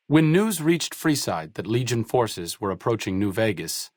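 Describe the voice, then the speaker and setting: A man narrating video game epilogues in a measured, solemn storytelling manner. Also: on the low side, dry recording, clean studio sound. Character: somber male narrator telling a companion's fate.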